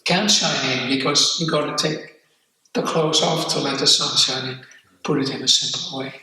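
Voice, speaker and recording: An older man from the Netherlands with a Dutch accent, slowly telling his life story in an interview, reflective and halting. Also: mid-range voice, steady tone, pushed and bright, slight background noise. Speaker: guest. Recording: echoey room, far from mic.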